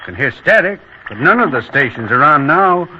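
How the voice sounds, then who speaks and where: A man's voice: a little high, very animated, normal speed. A man playing a plain-spoken farm son in a 1940s radio drama, clipped and matter-of-fact. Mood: puzzled. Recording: muffled, noisy vintage radio.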